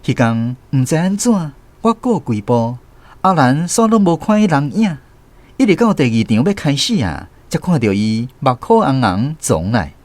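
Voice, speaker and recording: A man's voice: medium pitch, very animated, moderate pace, darkish tone, no room sound, lightly acted. A man playing a grown storyteller reflecting on his boyhood, narrating a radio drama with a Taiwanese accent. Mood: reflective.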